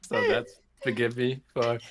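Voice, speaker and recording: A man's voice: mid-range voice, flat delivery, measured pace, a bit bright. A man who is sick, co-hosting a podcast in giggly, casual chat. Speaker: podcast co-host.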